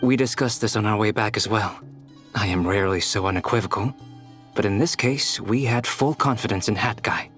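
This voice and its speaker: Anime game scholar, male actor: composed young man, rather low, formal diction. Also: normal speed, fairly bright tone, faint music behind, close to an everyday voice. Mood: calm, thoughtful.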